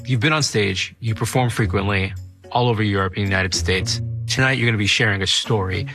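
A man with a fairly low voice hosts an interview. He asks prepared questions while music plays clearly behind him. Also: a little fast. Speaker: interviewer, host.

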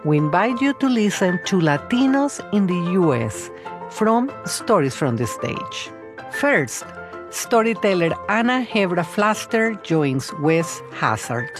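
A woman's voice: lowish voice, sing-song, takes it slowly. A woman presenting a program as host, reading a scripted introduction in a formal announcing style. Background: music.

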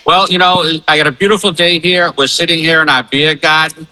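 A man's voice: a little high, fairly even intonation, fairly quick, sharp tone, rough recording. A man speaking as an event organizer in an interview, upbeat and emphatic, enthusiastically promoting it. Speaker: fair organizer and spokesman.

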